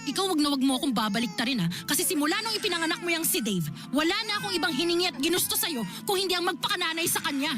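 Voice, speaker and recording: A woman's voice: extremely high pitch, expressive, rapid-fire, few pauses. A Filipino woman playing a protective, exasperated elder sister in a radio drama, stern, reproachful and emphatic. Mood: exasperated pleading. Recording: loud music under the voice. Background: loud background music.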